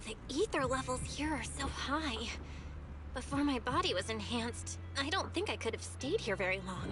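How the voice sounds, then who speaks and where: A woman's voice: sits high, expressive, normal speed, over music. A woman voicing an earnest, friendly young protagonist in an anime game. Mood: thoughtful.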